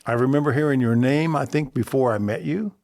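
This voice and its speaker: A man's voice: mid-range voice, fairly quick, a little mellow, no room sound, clean studio sound. An older American man hosting an interview podcast in a casual conversational style. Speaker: podcast host.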